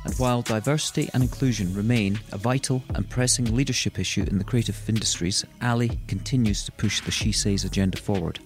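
A man hosting a podcast, reading a measured guest introduction and then asking interview questions. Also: fairly low pitch, soft background music. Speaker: podcast host.

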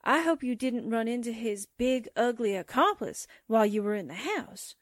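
A woman's voice: a little high, animated, normal speed, dry recording, studio quality, lightly acted. A woman acting a feisty, wisecracking newspaper sidekick in a 1940s-style radio drama, breezy and sardonic. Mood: wry.

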